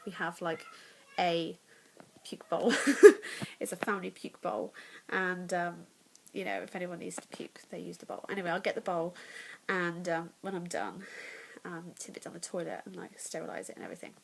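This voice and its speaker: Congested english woman vlogging at home, lowish voice, chatty, goes half whispery a lot, bit of hiss. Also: normal speed, gravelly, darkish tone, low-quality recording. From England. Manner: chatty, candid, often drops to a whisper. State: congested, nasal. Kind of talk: casual pregnancy vlog. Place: home, in the evening.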